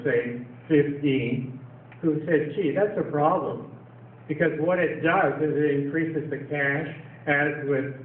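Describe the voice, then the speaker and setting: An older man from Canada giving a seminar talk to an audience, sardonic and full of rhetorical questions. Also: on the high side. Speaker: seminar speaker. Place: council chamber, echoey hall. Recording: distant echoey lo-fi hall recording.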